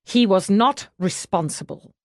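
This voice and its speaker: A woman's voice: mid-range voice, animated, unhurried, no room sound, studio quality. Woman voicing a stiff, haughty, proud manservant. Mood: grieving. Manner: formal, clipped, haughty. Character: stiff, proud, cold male servant, bitterly protective. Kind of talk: audiobook character dialogue.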